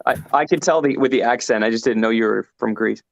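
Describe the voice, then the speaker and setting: A man's voice: medium pitch, fast talker, vocal fry. A man chatting casually as a band vocalist being interviewed online, joking and swearing freely. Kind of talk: casual banter on an online music show. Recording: dull lo-fi call audio.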